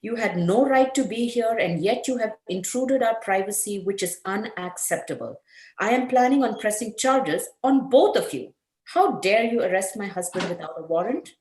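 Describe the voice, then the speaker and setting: A woman's voice: mid-range voice, expressive, normal speed, a little mellow, natural voice. A woman playing a haughty, indignant, defiant wife under suspicion in an amateur script table read, recorded in an echoey room. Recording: echoey room on video call.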